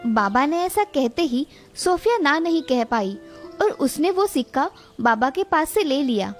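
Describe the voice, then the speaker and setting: Female narrator, high pitch, scripted children's storytelling, faint music behind. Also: big pitch swings, measured pace.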